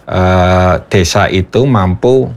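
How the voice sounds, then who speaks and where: Measured man with a lowish voice. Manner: measured, hesitant, with frequent fillers. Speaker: panel guest, village tourism practitioner. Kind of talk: panel discussion.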